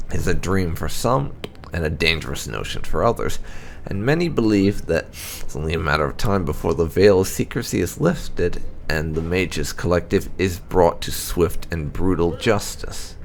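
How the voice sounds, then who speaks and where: A man narrating game lore as the host of a gaming video, with a gravelly voice. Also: sits low, animated, moderate pace, slight background noise.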